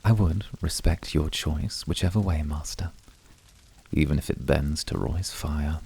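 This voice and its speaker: A man voicing a composed, poetic, devoted incubus in a flirty ASMR roleplay, speaking formally in a measured, seductive, often whispery way with a raspy, breathy voice. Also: rumbling low, a little mellow, exaggerated voice. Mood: teasing, devoted. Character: composed, poetic, devoted male incubus of calm, icy temper.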